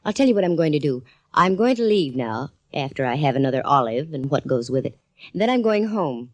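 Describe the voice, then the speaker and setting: A woman's voice: low-pitched, sing-song, a little fast, a little mellow, no room sound. A woman playing a sassy, wisecracking private eye who narrates a 1940s radio drama. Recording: old radio broadcast with music.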